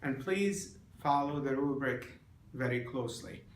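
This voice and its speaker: Slow-talking male teacher in an echoey room. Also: mid-range voice, slight background noise. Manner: deliberate, encouraging, addresses students by name. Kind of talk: classroom lesson instruction. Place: indoor room.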